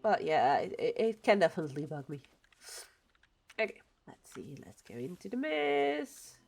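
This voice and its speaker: A woman's voice: fairly low pitch, big pitch swings, moderate pace, gravelly, a little mellow, faint music behind. Chatty woman streaming a game. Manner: chatty and opinionated, muttering to herself as she builds. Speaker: livestreamer. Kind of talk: casual chat while playing a building game.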